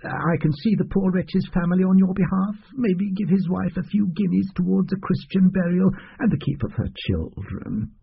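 A man voicing a fat little male doctor, a wheedling fraudster who talks in hushed, fussy tones. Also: high voice, animated, normal speed, gravelly, hardly any pauses, husky, narrow, tinny audio, pitched above the performer's own voice, heavily acted.

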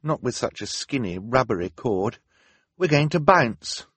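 A man's voice: mid-range voice, very animated, a little slow, fairly bright tone, slightly rough, no echo, pitched above the performer's own voice, heavily acted. A man voicing an earnest, inventive teenage girl, an anxious older sister in an audiobook, explaining things carefully. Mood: determined. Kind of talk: audiobook character acting.

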